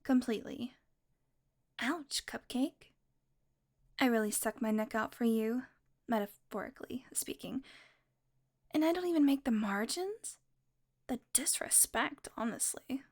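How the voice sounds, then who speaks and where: A woman's voice: fairly high pitch, measured pace, pauses often, a little mellow. A woman playing a sardonic, flirtatious female vampire in an intimate audio roleplay, with a sarcastic, playful, casually profane way of talking. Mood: wry, wounded.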